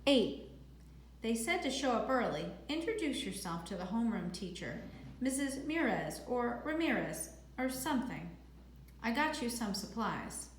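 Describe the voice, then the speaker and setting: A woman reading a storybook, voicing a gruff, brusque old grandfather with a blunt, clipped manner. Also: very high-pitched, sing-song, unhurried, heavily acted. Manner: clipped, blunt, matter-of-fact. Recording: echoey room with faint noise.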